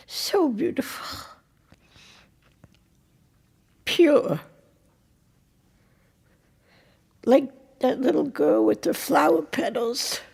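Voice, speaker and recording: An actress playing a blunt, worldly older married woman in an intimate audio drama, with a husky, creaky voice and a wry, halting manner. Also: fairly high pitch, a little mellow, heavily acted. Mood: tender, wistful. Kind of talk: intimate two-person audio drama acting.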